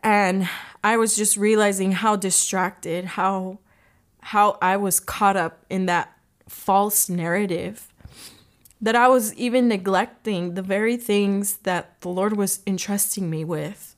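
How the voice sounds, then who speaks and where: A young woman speaks in an earnest, heartfelt way about her faith. Her voice sits at an ordinary middle pitch and now and then catches with emotion. The delivery is personal and unhurried. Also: steady tone. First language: English. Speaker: podcast host.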